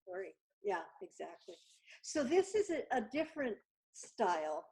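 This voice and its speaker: A woman guest chatting over a video call, telling stories with lively acted-out dialogue. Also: medium pitch, measured pace, low-quality recording. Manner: animated storyteller, acts out dialogue. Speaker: guest artist. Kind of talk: casual video chat.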